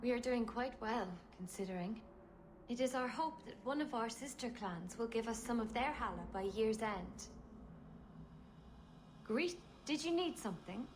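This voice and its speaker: An actress playing a reserved, protective clan spokeswoman in a fantasy video game, speaking in a measured, formal, guarded manner. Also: on the high side, expressive, slight background noise, lightly acted. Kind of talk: video game character acting.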